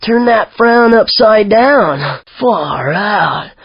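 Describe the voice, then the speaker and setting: Chill young hippie surfer dude, game announcer, raspy drawl, kinda lo-fi sound. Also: medium pitch, animated, extremely slow, no room sound, full character voice. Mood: mellow, encouraging. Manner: drawling, mellow slang, easily amused. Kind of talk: video game voice acting.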